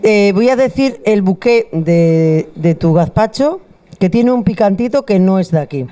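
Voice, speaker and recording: A woman's voice: mid-range voice, animated, measured pace, mellow. A middle-aged woman, hosting a food show in a bar, chatty and joking, speaking into a handheld mic. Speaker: host. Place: bar with wine shelves, indoors.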